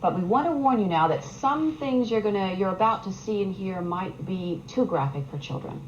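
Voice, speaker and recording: A woman's voice: mid-range voice, lively intonation, normal speed. A woman speaking as a television news anchor introducing a report. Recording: dull old TV broadcast, faint noise.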